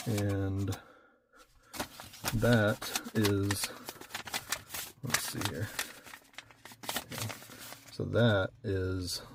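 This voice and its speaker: A man with a low voice talks casually through a hands-on job, thinking aloud as he goes. His delivery is unhurried and practical, like a host showing viewers an install. There is a light layer of background noise with some clicks. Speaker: host of hands-on car accessory install video. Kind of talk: casual hands-on demonstration talk.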